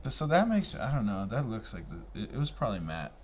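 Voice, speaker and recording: A man's voice: lowish voice, very animated, fast talker. A man co-hosting a podcast, chatting casually in an informal, slangy way. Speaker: podcast co-host. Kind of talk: casual chat. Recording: faint background room noise.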